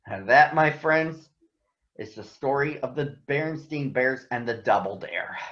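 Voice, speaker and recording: A man reading a children's story aloud in an expressive, measured manner. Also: mid-range voice. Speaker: storybook reader. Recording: dull, echoey room.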